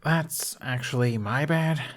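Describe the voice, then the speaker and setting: A man's voice: mid-range voice, slow, pitched above the performer's own voice, lightly acted. Guy doing a flustered young runaway prince, apologetic and exasperated, audiobook character.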